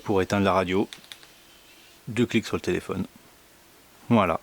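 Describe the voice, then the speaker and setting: A man giving a casual product demonstration indoors, speaking in a hesitant, halting way with many pauses. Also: low-pitched, steady tone, vocal fry. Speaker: product reviewer.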